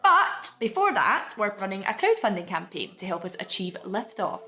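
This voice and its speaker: A woman's voice: mid-range voice, very animated, moderate pace, pushed and bright. A woman reading a scripted promotional announcement for a podcast.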